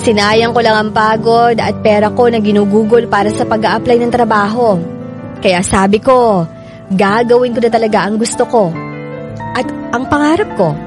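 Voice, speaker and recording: An actress plays a young Filipina telling her own radio drama story. Her voice is slightly high, reflective and resolute, with music clearly playing underneath. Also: fairly even intonation, moderate pace, lightly acted. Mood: reflective, determined. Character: reflective, newly resolute young woman narrating her career. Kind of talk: first-person radio drama narration.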